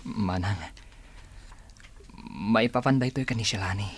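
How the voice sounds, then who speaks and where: An actor playing a hesitant, earnest man in an Ilocano village radio drama, with a husky voice. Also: on the low side, measured pace, slight background noise, natural voice. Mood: hesitant, shy.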